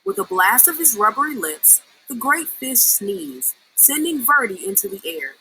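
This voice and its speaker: A woman reads a storybook aloud with expression. Her voice is bright and her pitch moves in a lively way. Faint noise sits behind it. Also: measured pace, low-quality recording. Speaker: story reader.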